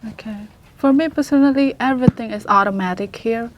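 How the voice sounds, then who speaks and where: A woman's voice: a little high, a little fast, a little hiss or hum. Hesitant young woman. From Indonesia. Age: young adult. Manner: hesitant, many fillers, searches for words. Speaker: international student. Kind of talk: press conference answers.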